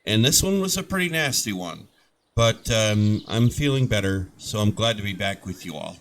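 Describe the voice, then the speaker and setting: A man's voice: on the low side, expressive, normal speed, a bit bright. Man, gameplay commentary host, getting over a head cold, rambling casual chat, faint background noise. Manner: rambling and chatty, with many restarts. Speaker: gaming channel host.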